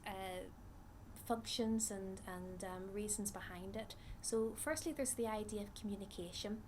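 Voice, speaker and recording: A woman from Northern Ireland with a Northern Irish accent, a psychology researcher explaining ideas in a measured way with frequent ums. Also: a little high, darkish tone, slight background noise. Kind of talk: explanatory interview talk.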